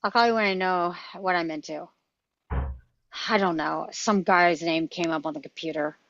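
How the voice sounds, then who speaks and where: A woman's voice: on the low side, normal speed, fairly bright tone, dull audio. A woman playing a cocky young American male field officer in a film script table read, casual and offhand with authority.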